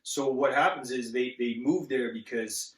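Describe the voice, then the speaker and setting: A man born in Zambia, a guest on an interview, speaking earnestly and haltingly with many restarts. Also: mid-range voice, a bit bright, recorded from a distance. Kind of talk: casual interview. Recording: echoey room, set back from the mic.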